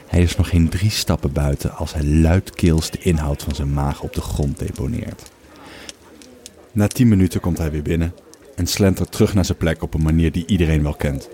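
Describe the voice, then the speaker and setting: A man reads scripted narration as a storyteller. His voice is exceptionally deep and dark, relaxed in tone, with a measured and slightly wry delivery.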